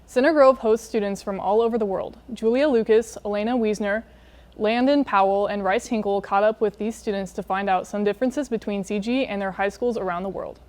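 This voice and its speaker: A teenage girl anchoring a school news broadcast in a bright, upbeat scripted delivery. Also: medium pitch, fairly quick.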